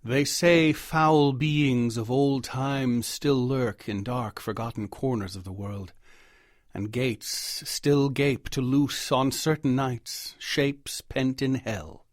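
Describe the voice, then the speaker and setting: A man narrates a scripted horror tale in a mid-pitched voice. He is formal, measured and grave, and the recording is close and very dry, with no room echo. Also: fairly even intonation. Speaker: audiobook narrator.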